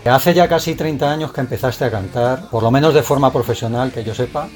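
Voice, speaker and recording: A man's voice: mid-range voice, fairly quick, a little mellow, soft background music. A man from Spain interviewing a rock singer on the radio in a friendly way, putting questions and giving frequent short acknowledgements.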